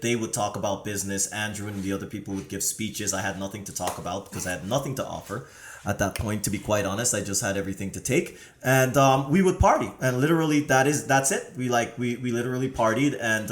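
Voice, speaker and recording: Low-voiced animated man, echoey room. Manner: lively storyteller, swings into loud animated bursts. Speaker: podcast co-host, here as guest. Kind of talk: casual chat.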